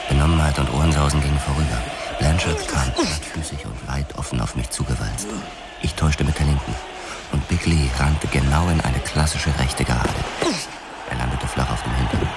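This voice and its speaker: Hardboiled boxer cop telling his story, super deep voice, measured and gritty, radio play with loud music. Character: tough male boxer-policeman recounting his own past. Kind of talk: scripted first-person narration, hardboiled radio drama. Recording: loud music and effects behind.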